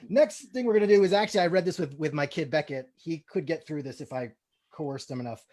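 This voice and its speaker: A man's voice: fairly high pitch, moderate pace, a little mellow. A man hosts a comedy table read. He talks casually to the group and then reads scene settings as a narrator. The delivery moves between offhand chat and scripted narration. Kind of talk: casual hosting with scripted stage-direction narration.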